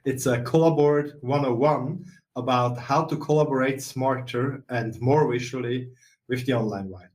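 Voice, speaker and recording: A middle-aged man with a Swiss accent, presenting a software webinar in a steady explanatory way with some fillers. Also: mid-range voice, moderate pace, rough recording. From Switzerland. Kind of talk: webinar walkthrough.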